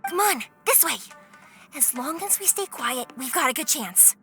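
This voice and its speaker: A woman's voice: high voice, expressive, normal speed, bright, forceful tone, exaggerated voice. A woman voicing a cheerful, spirited young fireworks maker in an anime game, friendly and brave, with a breezy, colloquial manner. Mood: hushed, resolute. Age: young adult. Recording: music behind.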